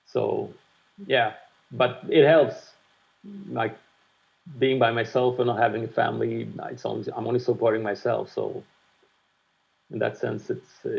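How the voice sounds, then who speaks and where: Frank, reflective man, 50s, gravelly voice, slightly muffled recording. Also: mid-range voice. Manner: reflective, frank, swears casually. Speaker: guest music producer. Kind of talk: candid conversational interview.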